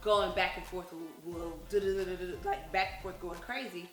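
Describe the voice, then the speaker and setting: A woman co-hosting a casual talk show, chatting animatedly and sometimes slipping into a soft, soothing voice. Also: on the low side, steady tone, moderate pace, roomy sound, faint music behind. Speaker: podcast co-host. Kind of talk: casual chat.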